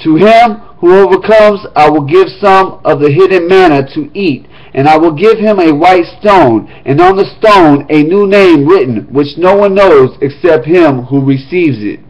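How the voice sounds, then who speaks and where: A man reads scripture aloud in a steady, measured way. His voice sits somewhat high for a man. The recording is muffled and lo-fi, and he drops into quick, easy asides to his listeners between passages. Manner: steady reading broken by quick, casual spoken asides. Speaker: scripture reader. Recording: dull lo-fi recording.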